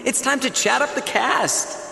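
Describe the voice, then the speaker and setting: A man's voice: extremely high pitch, measured pace, creaky, noisy background, full character voice. An actor playing a flamboyant, showy male dating show host in a video game, with a theatrical, coaxing manner. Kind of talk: video game character acting. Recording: roomy long reverb, music underneath.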